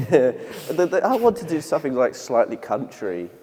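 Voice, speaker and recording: A man's voice: mid-range voice, sing-song, normal speed, mellow. Easygoing man in a reverberant studio. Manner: loose, casual phrasing with grammar slips. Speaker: band member guest. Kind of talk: casual interview chat.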